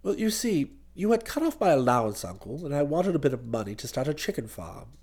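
Man doing a hapless posh young english nephew in a story, earnest, hesitant, rambles on in a lowish voice. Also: very animated, a little fast, darkish tone, breathy edge. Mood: nervous explaining.

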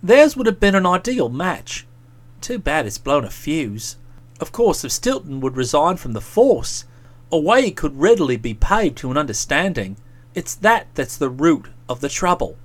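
A low-pitched young English gentleman from a comic storybook, as a male narrator puts him on. He is aggrieved and aloof, handing out pompous reproaches. His sarcasm is cold and drawled. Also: sing-song, moderate pace. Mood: musing, drawling.

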